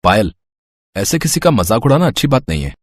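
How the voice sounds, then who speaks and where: A man's voice: on the low side, sing-song, fairly quick, no room sound, clean studio sound. A man playing an easygoing, kindly young city student in a story, reassuring and casual. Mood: calm.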